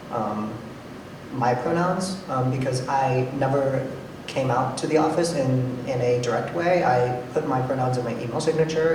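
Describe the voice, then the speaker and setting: A nonbinary panelist with a masculine-sounding voice, talking casually in a hesitant way, with many ums and restarts. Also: medium pitch. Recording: roomy, distant mic with noise.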